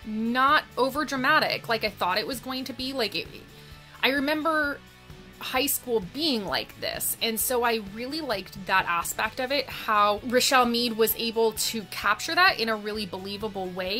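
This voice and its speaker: A young woman talking casually in a reading vlog, thoughtful and halting, drawing out words for emphasis. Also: fairly high pitch, sharp tone. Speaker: book vlogger.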